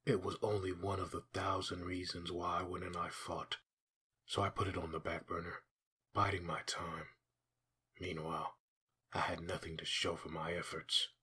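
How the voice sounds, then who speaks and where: A man voicing a grim, haunted undead assassin in a brooding comic dub monologue, with a raspy, gravelly growl. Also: deep voice, flat delivery, normal speed, sharp tone, full character voice. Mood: brooding, bitter.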